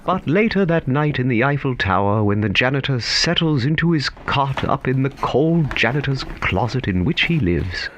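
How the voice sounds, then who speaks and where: Wry guy narrating a story, theatrical, pitch moves around a lot, bit muffled recording. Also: big pitch swings, normal speed, few pauses, fairly bright tone, slight background noise.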